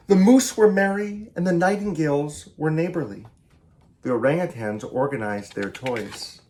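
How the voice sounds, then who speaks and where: A man, a children's author, reading a picture book aloud in an animated, theatrical and emphatic way. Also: a little high. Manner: theatrical, emphatic, with varied delivery.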